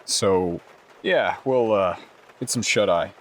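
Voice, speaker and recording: Low-voiced man playing a tabletop game. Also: slow pace. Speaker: player in a tabletop roleplaying game. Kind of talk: casual in-character game talk.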